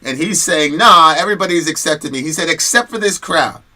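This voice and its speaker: Bright-voiced man talking casually. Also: on the high side, a little fast. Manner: animated, slangy and conversational. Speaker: host of a news commentary show. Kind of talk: casual commentary and personal storytelling.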